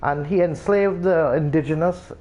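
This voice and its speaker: A man's voice: on the high side, normal speed, mellow. A man from Trinidad with a Trinidadian accent, chatting casually as a streamer and explaining things in a rambling way, full of restarts. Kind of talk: casual chat while gaming.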